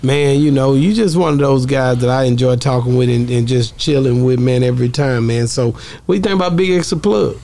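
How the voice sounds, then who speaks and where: A man's voice: mid-range voice, fairly quick, hardly any pauses, mellow. A man hosting a podcast, chatting casually in slangy, repeated phrases. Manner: slangy, repetitive. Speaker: podcast host. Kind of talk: casual chat. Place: small podcast studio, indoors. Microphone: close studio microphone.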